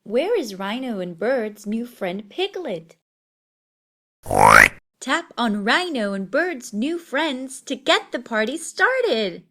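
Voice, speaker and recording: A woman's voice: fairly high pitch, sing-song, slow pace, heavy background noise. A woman narrating a children's read-along story, with music behind her.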